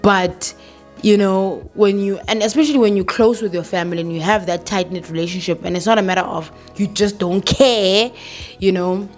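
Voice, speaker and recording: A woman's voice: mid-range voice, steady tone, normal speed, soft background music. A South African woman in her thirties talking candidly to camera in a casual vlog, slipping in local words and swearing now and then. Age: 30s. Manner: candid, code-switching, swears, laughs. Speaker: vlogger.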